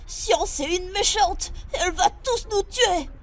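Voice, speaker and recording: A woman's voice: very high voice, slow, heavy background noise, exaggerated voice. A woman playing an earnest, insistent seven-year-old boy in a science fiction audio drama. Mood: frantic. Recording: music behind the voice.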